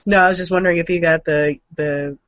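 A woman's voice: on the low side, steady tone, fast, a bit bright, dry recording. A woman co-hosting a podcast with casual, informal banter. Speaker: podcast co-host.

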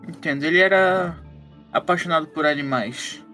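A man's voice: on the high side, normal speed, pushed and bright, soft background music. A man with a Brazilian accent, a role-playing game player chatting casually in and out of character.

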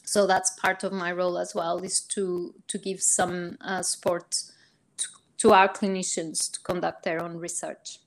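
A woman from Portugal, a research psychologist explaining her work as a guest in a podcast interview, measured and full of ums and rephrasings. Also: on the low side, level tone.

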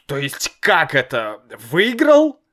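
Brief: hot-tempered father, exasperated and loud, drawn-out lines, played by a male reader. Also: a little high, very animated, pitched above the performer's own voice, heavily acted. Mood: agitated. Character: nervous, hot-tempered father, shouting and hissing. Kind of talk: storybook reading.

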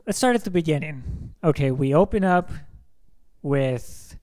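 A man's voice: fairly high pitch, lively intonation, measured pace, darkish tone. A young man co-hosting a casual film discussion podcast, talking with enthusiasm and rambling, filling his sentences with "like". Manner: enthusiastic, rambling, heavy use of "like". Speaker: podcast co-host.

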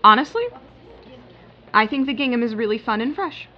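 A woman's voice: a little high, normal speed, close to an everyday voice. A woman acting in an audio drama as a harried, polite but wry retail floor manager. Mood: polite, placating. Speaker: actor. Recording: muffled, noisy hidden-bug recording with shop ambience.

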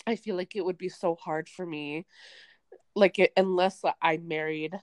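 A young woman with a Canadian accent talks in a loose, rambling way, full of likes and you-knows. Her voice sits a little low, and the recording is dry and close. She sounds like a podcast co-host chatting with a friend. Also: fairly even intonation, moderate pace, darkish tone, no echo. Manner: chatty, rambling with many fillers. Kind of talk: casual chat. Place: remote, away from co-host.

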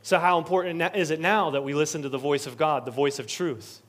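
Male pastor, higher-pitched, preaching with earnest building repetition. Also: fast talker, fairly bright tone. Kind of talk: sermon. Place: church sanctuary.

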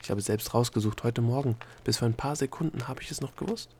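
A man voicing a squeamish, defiant young princess in an audiobook, anxious and grumbling in a breathy way. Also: medium pitch, fast, warm tone, a little hiss or hum, close to an everyday voice. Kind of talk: audiobook character voice.

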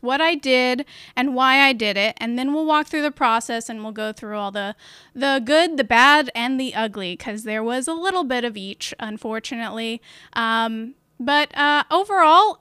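A woman hosting her own podcast on a live stream, chatty and upbeat, with a loud voice and lots of fillers, talking into a studio mic at home. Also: fairly high pitch, clean tone, no echo. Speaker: podcast host. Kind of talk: casual live-stream chat. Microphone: studio mic with portable recorder.

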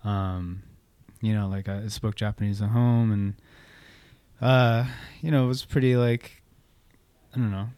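A man's voice: lowish voice, fairly even intonation, measured pace, mellow, clear, resonant tone, no echo. A man from Southern California, a guest musician giving loose, laid-back answers full of fillers in a casual interview, recorded indoors at a theater venue.

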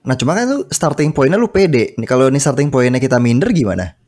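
A man in a casual podcast chat, pushing back with lively everyday examples. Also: mid-range voice, fast.

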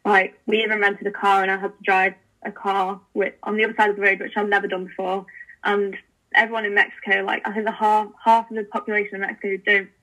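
A chatty young woman guest on a podcast, talking eagerly with frequent fillers and restarts. Her voice is bright and forward, with a flat, even pitch. It comes through thin, like a phone call. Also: fast. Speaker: podcast guest, language learning founder. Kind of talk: casual interview chat.

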